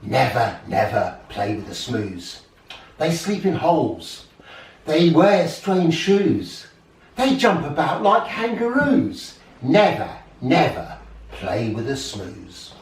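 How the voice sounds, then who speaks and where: A man playing an indignant old alien grandfather, swooping high. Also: sing-song, takes it slowly. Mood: stern warning. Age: older. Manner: emphatic, indignant, chanting warnings. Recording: roomy, distant home recording with hiss.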